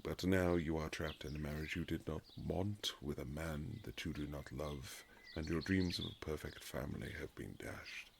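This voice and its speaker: A man playing a reserved, formal Regency husband who is awkwardly tender, speaking in halting period phrases in a romantic audio roleplay, with a gravelly voice. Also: a bass voice, noisy background. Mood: regretful, solemn.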